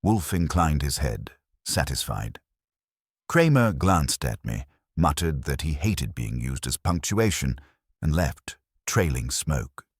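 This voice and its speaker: A man's voice: rumbling low, very animated, slow pace, slightly rough, no echo, clean studio sound. A man reading a first-person detective story as a scripted audiobook narration.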